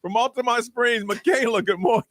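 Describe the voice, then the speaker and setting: A man's voice: very high voice, brisk, fairly bright tone, dry recording. A man hosting a morning call-in radio show, bantering with callers in loose slang and laughing often. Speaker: radio host. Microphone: studio microphone.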